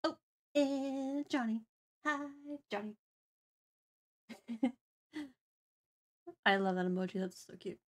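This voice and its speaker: A woman's voice: a little high, slow pace, pauses often. A woman hosting a livestream, chatting casually and playfully with her co-host and viewers.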